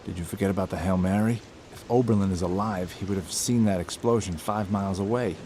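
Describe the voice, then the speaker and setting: A man's voice: low-pitched, fairly even intonation, quick pace, a little mellow, a little hiss or hum, natural voice. An actor playing a weary, pessimistic sub crewman with grim, dry sarcasm, in audio drama style. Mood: grim, worried. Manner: sardonic, grim.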